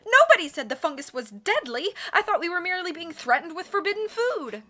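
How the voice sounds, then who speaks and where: A woman's voice: very high voice, big pitch swings, fairly quick, pushed and bright, soft background music, voice pushed up, full character voice. A woman voicing a panicky, indignant islander woman who shrieks in a storybook. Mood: panicked.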